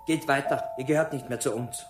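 A man's voice: medium pitch, brisk, echoey room, music in the background, natural voice. A man acting a cold, accusing town mayor in a German radio play, stern and dismissive. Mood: cold, threatening.

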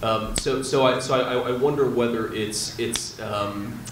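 A man's voice: medium pitch, steady tone. Hesitant man answering on a panel. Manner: halting, thoughtful, with many restarts. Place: conference room. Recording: roomy, distant, with noise.

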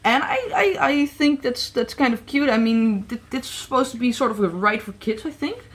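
A woman's voice: a little high, moderate pace. A woman from the Netherlands chatting casually through a toy review, hesitant with many fillers and restarts. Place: indoor room at a tabletop set.

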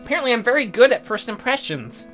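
A man's voice: very high-pitched, very animated, fast, fairly bright tone, soft background music, pitched above the performer's own voice, full character voice. An American man voicing an awkward, curious teenage schoolboy who talks hesitantly and stammers in a game.

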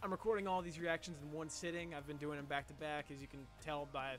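Slightly hoarse young man, chatty. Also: a little high, flat delivery, quick pace, faint music behind. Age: young adult. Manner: chatty, rambling, with restarts. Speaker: music reaction YouTuber. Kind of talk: casual reaction commentary. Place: small bedroom. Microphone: headset.

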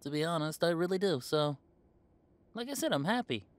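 A man's voice: on the high side, animated, moderate pace, background noise, lightly acted. A man playing a young werewolf in a conversational audio roleplay, talking in a casual, earnest way. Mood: relaxed, earnest. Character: easygoing young male werewolf, defensive with parents.

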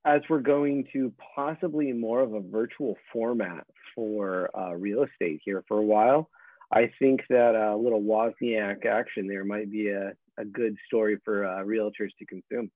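A man in his thirties asking casual interview questions in a halting way, over thin, phone-like call audio. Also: lowish voice, no room sound. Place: indoor room on a video call. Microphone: wireless earbuds on a video call.

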